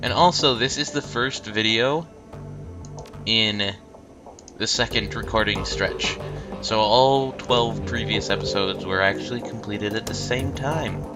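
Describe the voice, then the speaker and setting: A man's voice: lowish voice, unhurried. Stuffed-up man rambling over a game. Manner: rambling, hesitant, many ums. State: stuffed-up nose. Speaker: let's play host. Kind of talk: casual game commentary. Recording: game music behind.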